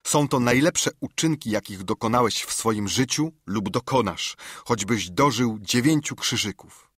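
A man's voice: mid-range voice, expressive, measured pace, a bit bright, husky, dry recording, studio quality. A man voicing a kindly, earnest middle-aged doctor who pleads urgently, in an audiobook. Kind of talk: audiobook character reading.

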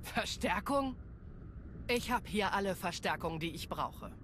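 A woman's voice: medium pitch, animated, a little slow, noisy background, lightly acted. A woman playing a tough, cocky security chief in a German game dub, sardonic and confident. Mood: cocky, dry.

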